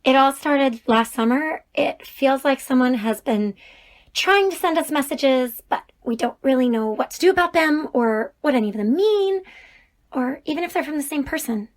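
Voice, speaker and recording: A woman's voice: high voice, expressive, normal speed. An actress playing an earnest, anxious woman college professor in an audio drama, often sounding protesting.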